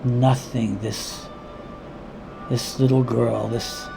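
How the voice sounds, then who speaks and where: A man reading his own dramatic monologue in a deliberate, intense spoken-word style, with weighty pauses and repeated words. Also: medium pitch, level tone, creaky, over music.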